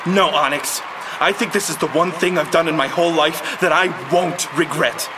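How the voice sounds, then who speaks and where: Guy playing defiant young heir in a cartoon, pretty high voice, heartfelt, noise in the background. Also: normal speed, lightly acted. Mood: resolute defiance. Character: earnest, defiant rich heir, young man.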